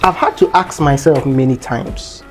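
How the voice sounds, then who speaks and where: A man's voice: mid-range voice, normal speed, a little mellow, faint music behind. A man in his thirties with a Nigerian accent, hosting a casual sit-down interview and reflecting in a chatty way with light chuckles. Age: 30s. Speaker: host.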